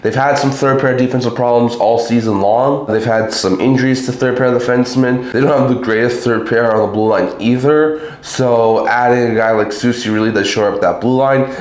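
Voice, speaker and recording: A man hosting a hockey channel, giving a casual solo recap of trades in loose, chatty sentences, his voice a little raspy from a cold. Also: medium pitch, echoey room. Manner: chatty, talks in loose run-on sentences with fillers.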